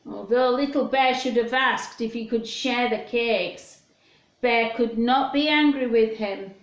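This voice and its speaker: A woman's voice: on the high side, unhurried, reverberant, lo-fi sound. A woman reading a story aloud to young children, speaking simply and expressively to her listeners.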